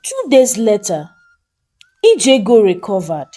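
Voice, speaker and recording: A woman narrating a folktale as a storyteller, with a measured, expressive and dramatic delivery. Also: medium pitch.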